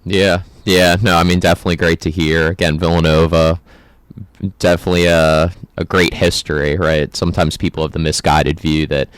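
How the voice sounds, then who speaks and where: A man's voice: low-pitched, flat delivery, normal speed, clean tone, dry recording. A young American man interviewing a guest in a conversational sports radio style. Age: young adult.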